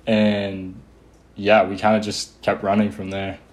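A man's voice: lowish voice, monotone, a little slow. A young American man casually recalling events in loose, hesitant phrases. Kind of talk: casual recollection.

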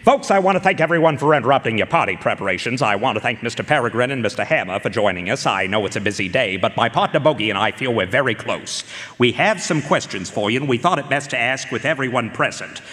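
Casting: male actor as polite gumshoe, mid-pitched, animated delivery, radio play with hall reverb. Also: fast talker, natural voice. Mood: businesslike. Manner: polite, businesslike. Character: courteous, businesslike private detective. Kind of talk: live radio drama acting and narration. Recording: live concert hall with reverb.

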